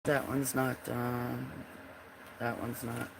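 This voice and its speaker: Slow, froggy-voiced man rambling. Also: mid-range voice, monotone, background noise. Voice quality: froggy, gravelly voice. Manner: slow, meandering, trailing off while searching. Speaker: livestream host showing his drawings. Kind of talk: casual rambling commentary.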